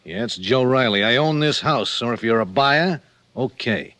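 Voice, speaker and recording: Hard-boiled radio heavy: menacing, sarcastic, clipped delivery, mid-pitched voice, close dry sound. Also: male voice, lively intonation, moderate pace, sharp tone, no room sound. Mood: cool menace. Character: tough, menacing, sardonic tall gunman. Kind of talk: 1940s radio acting.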